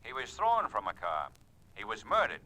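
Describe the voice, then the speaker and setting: A man's voice: lowish voice, huge pitch swings, normal speed, over loud music. An actor playing a businesslike policeman on the telephone, with a clipped official manner in 1940s radio drama style. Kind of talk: 1940s radio suspense acting. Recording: vintage radio recording, faint noise.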